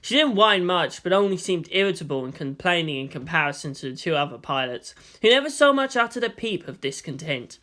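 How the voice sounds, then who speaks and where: A narrator reads fiction aloud in a medium-pitched voice. The delivery is expressive, with melodic rises and falls from phrase to phrase. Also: a little fast, hardly any pauses. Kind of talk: expressive fan fiction reading.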